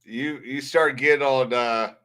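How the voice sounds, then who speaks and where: A man's voice: mid-range voice, fairly even intonation, unhurried, fairly bright tone, smooth. A man hosting a livestream, chatting casually in a rambling, blunt way. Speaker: livestream host. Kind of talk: casual livestream chat and commentary.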